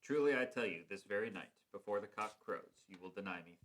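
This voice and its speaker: A man reading the part of Jesus in a church passion play, slow and grave. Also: on the low side, fairly bright tone, close to an everyday voice. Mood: solemn. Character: Jesus, calm solemn male teacher.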